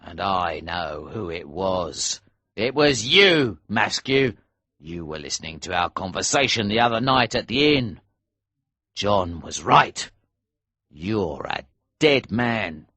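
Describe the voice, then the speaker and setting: A man voicing a cold, vengeful smuggler leader in an audiobook, calm and menacing. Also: sits low, takes it slowly, no echo, heavily acted. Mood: accusing. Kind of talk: audiobook character voice.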